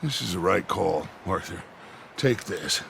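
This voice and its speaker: An actor playing a commanding middle-aged American outlaw gang leader in a video game, theatrical and oratorical, bent on vengeance. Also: male voice, low-pitched, animated, unhurried, vocal fry, fairly bright tone, slight background noise, full character voice. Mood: firm, persuading. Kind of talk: dramatic video game acting.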